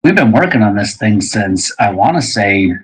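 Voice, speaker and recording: Husky, low-voiced male interviewer. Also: big pitch swings, normal speed, poor audio. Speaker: podcast host, interviewer. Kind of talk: casual interview chat.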